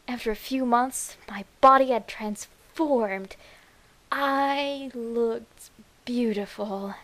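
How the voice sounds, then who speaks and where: Slow, high-voiced demented young woman, acted by a woman. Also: heavily acted. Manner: demented, breathy, dramatic, slow with whispered words. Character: deranged lovestruck young woman obsessed with a skeleton, giddy and morbid. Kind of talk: first-person horror story narration.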